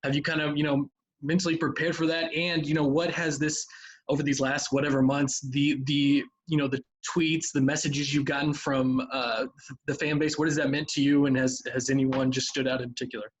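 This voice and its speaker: A man's voice: fairly high pitch, little pitch movement, fairly quick, low-quality recording. A man, a sports reporter, asking a coach a hesitant question at a press conference, full of fillers.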